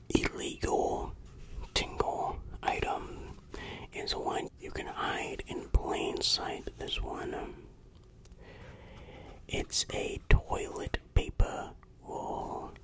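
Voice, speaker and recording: Someone whispering in a breathy, hushed and confiding way, performing an ASMR roleplay as a shady dealer, close to the microphone.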